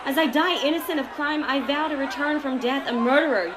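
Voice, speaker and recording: A woman's voice: high voice, fairly quick, background noise, lightly acted. An actress playing a condemned old woman who swears ghostly revenge, in old-time radio acting style. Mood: vengeful. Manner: defiant, pleading then cursing.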